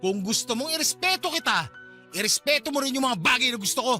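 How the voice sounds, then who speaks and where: A man's voice: very high-pitched, animated, fast, pushed and bright, soft background music, heavily acted. A man playing a defensive, resentful husband in a Tagalog radio drama, dismissive and argumentative. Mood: angry, resentful.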